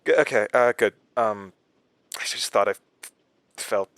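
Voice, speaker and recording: Troubled man, low-pitched, halting, weary, paranoid, dry studio sound, horror audio drama. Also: a bit bright, dry recording. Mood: uneasy, paranoid. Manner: halting, distracted, tense stammer. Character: troubled, jittery male radio host, weary and paranoid.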